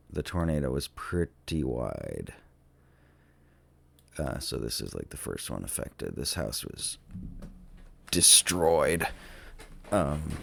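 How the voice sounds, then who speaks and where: A sick man with a cold thinks aloud in a casual, hesitant, musing way, with many ums and trailing pauses, as he narrates a vlog. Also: a bass voice, vocal fry. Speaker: vlogger touring tornado damage on a map.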